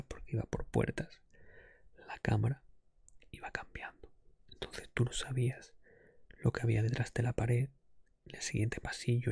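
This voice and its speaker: A man hosting an ASMR podcast monologue in a hushed, breathy whisper, explaining things hesitantly. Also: mid-range voice, dry recording. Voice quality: breathy, mostly unvoiced whisper. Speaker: podcast host.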